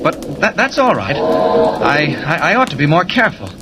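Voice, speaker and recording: An actor playing a meek, bumbling young reporter in a 1940s radio serial, stammering, hesitant and over-polite. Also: male voice, a little high, very animated, moderate pace, heavy background noise. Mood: sheepish. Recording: old radio recording with loud sound bed.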